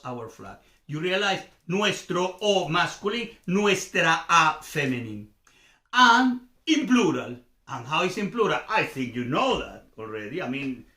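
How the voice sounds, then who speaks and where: Male language tutor, Venezuelan accent, native Spanish speaker; highish lively voice, informal and didactic, repeats examples for learners. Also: roomy sound. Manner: didactic, repeats examples, checks understanding with questions. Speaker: language teacher and host. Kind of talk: informal Spanish grammar lesson.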